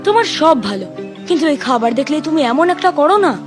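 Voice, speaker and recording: A woman's voice: sits high, big pitch swings. A woman voicing a mischievous little boy in a cartoon, a teasing younger brother with a cheeky way of talking. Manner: cheeky, quick, chattering. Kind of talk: cartoon voice acting. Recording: faint music behind the voice.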